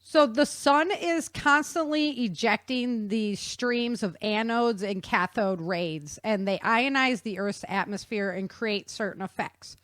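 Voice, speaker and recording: A woman hosts a podcast with casual, explanatory talk. She sounds like an informal nerdy enthusiast, with a mid-range pitch and a dry, close microphone sound. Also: a little slow, hardly any pauses, a bit bright, dry recording. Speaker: podcast host.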